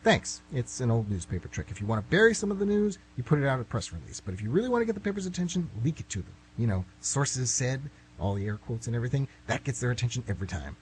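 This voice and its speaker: A man's voice: mid-range voice, very animated, fast, a little hiss or hum, lightly acted, poor audio. A man playing a glib writer who bargains and protests, in an amateur radio comedy.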